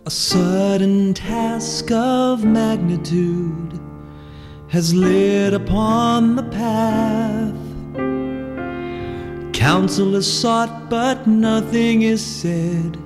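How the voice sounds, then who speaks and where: A woman's voice: mid-range voice, extremely slow. A woman delivering a lyrical sung ballad with guitar accompaniment. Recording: loud guitar music accompaniment.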